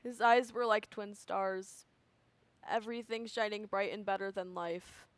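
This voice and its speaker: A young woman performing a solemn, lovelorn nymph in a dramatic, drawn-out theatrical monologue. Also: mid-range voice, steady tone, lightly acted. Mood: mournful. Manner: dramatic, drawn-out, sighing delivery.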